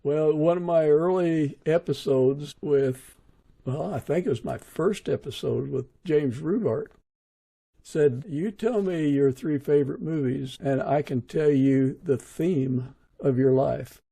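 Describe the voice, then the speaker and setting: A man's voice: fairly high pitch, takes it slowly, vocal fry, dark tone, breathy edge. A middle-aged or older man hosting a casual interview, asking his guest questions conversationally. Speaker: podcast host, interviewer.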